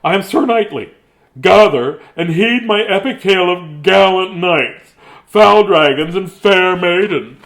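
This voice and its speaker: A man's voice: high-pitched, unhurried, gravelly, fairly bright tone, pitched above the performer's own voice, full character voice. A man voicing a pompous storybook knight king who declaims grandly. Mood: proud, booming.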